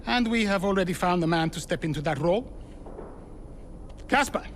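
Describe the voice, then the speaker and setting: A man's voice: high voice, animated, normal speed, a little hiss or hum. A man playing a self-assured racing team owner, giving a formal, commanding speech to staff.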